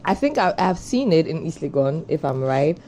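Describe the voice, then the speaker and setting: Mellow-voiced talk show co-host. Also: medium pitch, big pitch swings, normal speed, a little hiss or hum.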